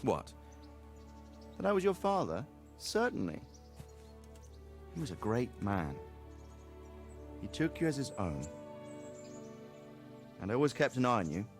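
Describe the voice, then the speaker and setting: An actor playing an easygoing middle-aged nobleman in a video game, a fatherly mentor who talks in an unhurried, wry, reflective way. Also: medium pitch, very animated, faint music behind. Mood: rueful. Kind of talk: cinematic video game dialogue.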